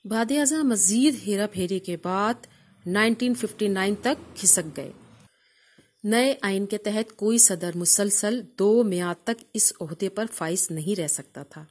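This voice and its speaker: A woman's voice: mid-range voice, unhurried, breathy edge. Pakistani woman reading a memoir. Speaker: audiobook narrator reading a memoir. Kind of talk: scripted literary narration.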